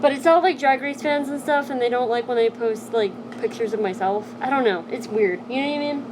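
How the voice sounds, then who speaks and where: A young woman hosts a casual live stream from her home, her voice sitting a little high. She rambles and keeps dropping in "like" as she hunts for the words. Steady background noise runs under her voice the whole time. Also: fairly quick. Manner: rambling, heavy use of "like" fillers.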